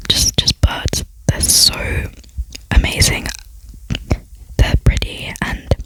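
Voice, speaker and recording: A young adult speaks entirely in whispers, with barely any voiced tone. The delivery is casual and rambling, like an informal ASMR chat over gameplay, with a self-deprecating streak. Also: rough recording.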